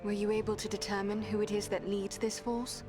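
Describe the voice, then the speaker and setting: A woman's voice: mid-range voice, level tone, brisk, music in the background, natural voice. A woman playing a composed, courteous and determined elf adventurer in a fantasy game, speaking formally. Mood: composed, inquiring. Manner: formal, poised. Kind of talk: fantasy game cutscene acting.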